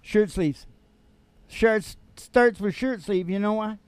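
A man's voice: high-pitched, takes it slowly, clean tone, no room sound. An older man hosting in a casual, rambling motivational style with a folksy, teasing manner, speaking into a stage microphone. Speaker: podcast host and musician. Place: small curtained stage studio. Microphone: stand-mounted vocal microphone. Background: acoustic guitar.

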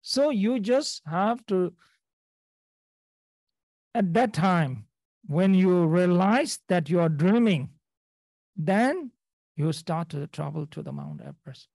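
A man's voice: high voice, animated, creaky, darkish tone, dry recording. A man from Nepal teaching a dharma class over a video call, often restarting phrases and checking in with the listeners. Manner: halting, repeats phrases, checks in with "okay?". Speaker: Buddhist teacher.

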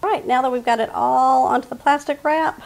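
A woman's voice: high voice, fairly even intonation, brisk, vocal fry. A woman, giving friendly step-by-step baking instructions in her home kitchen. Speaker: cooking channel host. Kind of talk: casual cooking demonstration.

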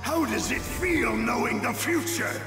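Very high-pitched, vengeful alien seer. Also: male voice, measured pace. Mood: ominous, gloating. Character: vengeful dying alien seer pronouncing doom. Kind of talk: anime game dramatic acting. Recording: echoing hall reverb, music behind. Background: music.

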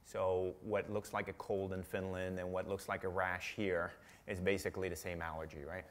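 A man who grew up in Italy, answering audience questions in a live talk in a conference room, animated and acting out quotes. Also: sits low. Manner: animated, acts out quotes in shifting voices. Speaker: guest speaker.

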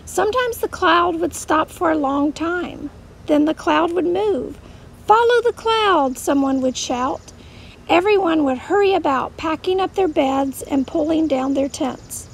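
A woman's voice: extremely high pitch, a little slow, a little hiss or hum. A woman teaching children, telling a Bible story in simple, plain language.

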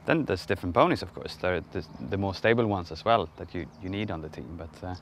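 Deep-voiced Swedish man, enthusiastic trainer. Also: fast, slight background noise. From Sweden. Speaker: national team trainer. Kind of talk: interview.

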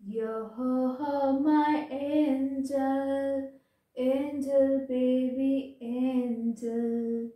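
A young woman softly singing a pop love ballad with no accompaniment, sitting on a bed in a small bedroom with a handheld mic. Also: high voice, level tone, drawn-out words. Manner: soft, unaccompanied singing. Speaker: singer. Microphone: handheld mic held close. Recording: very echoey room, voice sounding distant.

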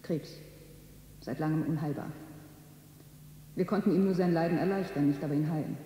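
Radio play role: solemn consoling doctor played by a man, fairly high, very mellow voice, measured delivery, faint noise. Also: steady tone, close to an everyday voice. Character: grave, fatherly male private doctor breaking bad news. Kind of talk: 1970s radio drama acting.

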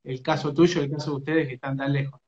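A man's voice: mid-range voice, flat delivery, fast, a little mellow. A man with an Argentine Rioplatense accent, interviewing a young footballer over a phone live stream, talking in hesitant stops and restarts. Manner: hesitant, many fillers and restarts. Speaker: sports radio interviewer. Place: indoors, small room. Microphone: phone front camera. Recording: roomy, muffled phone audio.

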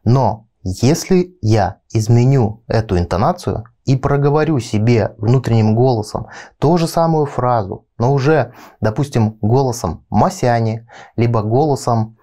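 A man's voice: medium pitch, measured pace, mellow. A man in his thirties giving an instructional talk as a video coach, explaining step by step and addressing the viewer directly. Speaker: video blog host, coach and trainer. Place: small indoor studio with panelled backdrop. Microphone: lapel mic.

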